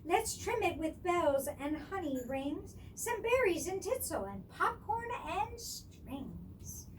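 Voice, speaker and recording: A man's voice: very high voice, very animated, slow, full character voice. A woman voicing a cheerful, homely papa bear in a storybook, hearty and eager. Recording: distant microphone with clear background noise.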